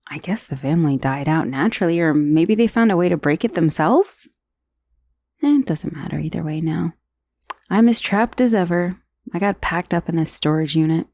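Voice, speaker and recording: A woman's voice: deep voice, lively intonation, normal speed, a little mellow, no room sound. A woman playing an undead dullahan's severed head in an ASMR roleplay, conversational and dryly sardonic, menacing yet wistful, with sighs and hesitations. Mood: resigned, curious.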